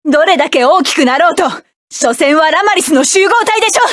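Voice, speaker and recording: A fierce young mech pilot. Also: female voice, high voice, animated, brisk, no room sound, studio quality. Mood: cool. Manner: forceful battle shouts and clipped commands. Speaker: voice actress. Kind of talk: game voice clips.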